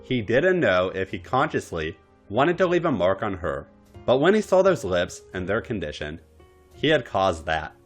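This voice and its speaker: A man reads a romance story in a low, theatrical voice. His pitch rises and falls dramatically, and music plays underneath. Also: very animated, moderate pace. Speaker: podcast story reader. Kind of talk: dramatic narration of erotic fan fiction.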